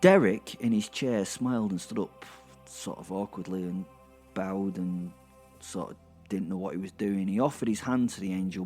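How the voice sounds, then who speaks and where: A man's voice: low voice, a little mellow. A man playing a brash, sardonic werewolf who tells his violent story in a rambling, conversational way with wry asides, in an audio drama. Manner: rambling, conversational, halting for effect, repeating words. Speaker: narrator and main character of an audio drama.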